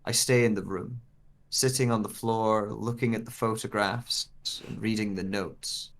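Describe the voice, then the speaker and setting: A man's voice: fairly low pitch, steady tone, a little slow, gravelly. An actor playing a bewildered searcher with lost memory, narrating in the first person as he reads a dramatic script. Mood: searching.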